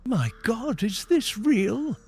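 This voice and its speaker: A man's voice: very high voice, big pitch swings, slow, slightly rough, voice pushed up, heavily acted. Man voicing a frightened, hesitant heiress. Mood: awed. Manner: hesitant, hushed.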